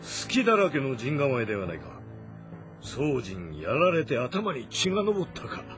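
A man's voice: mid-range voice, wildly swooping pitch, unhurried, over music. A man playing a hot-blooded, scornful warrior general of ancient China in a game drama. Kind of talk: dramatic audio-novel acting in a video game.

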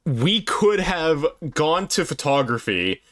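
A man's voice: a little high, big pitch swings, slow pace, a bit bright. A man giving casual, foul-mouthed gameplay commentary among friends. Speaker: gaming video host.

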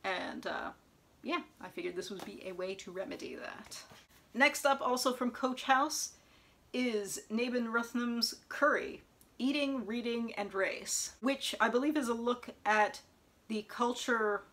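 Young woman talking thru a book haul at home, thoughtful and chatty, reads blurbs out loud. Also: a little high. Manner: thoughtful, chatty, reads back covers aloud in an even tone. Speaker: book vlogger. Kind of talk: casual book haul chat with read-aloud blurbs. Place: home room in front of bookshelves. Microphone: camera in front at close range.

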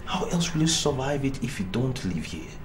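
A man's voice: medium pitch, very animated, moderate pace, noisy background, close to an everyday voice. A man playing a frustrated young family man. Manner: pleading, angry outbursts. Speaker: husband and son. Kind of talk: film drama acting.

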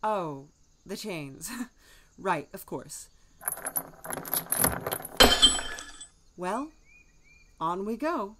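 A woman playing a nervous, soft-hearted young man in an audio drama, hesitant and flustered with sighs and stammers. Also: mid-range voice, big pitch swings, very slow, very noisy. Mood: reluctant.

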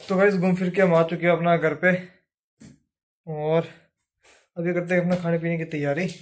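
A man's voice: a little high, level tone, normal speed, no room sound. A young man casually narrating a travel vlog into his phone, chatting to viewers as guys, first outdoors by the sea and later at home. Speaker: vlogger.

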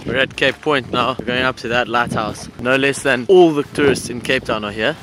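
A man's voice: medium pitch, steady tone, normal speed, over loud music. A young man vlogging a road trip, chatting and teasing his companions while holding an action camera at arm's length. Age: young adult. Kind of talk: casual travel vlog chat. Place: outdoors and in a car on a road trip. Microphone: action camera held at arm's length.

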